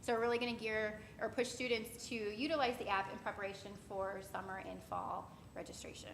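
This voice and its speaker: A woman presenting to staff and taking questions in a large auditorium, in a brisk, upbeat way. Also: mid-range voice, fairly even intonation. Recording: roomy hall with loud background noise.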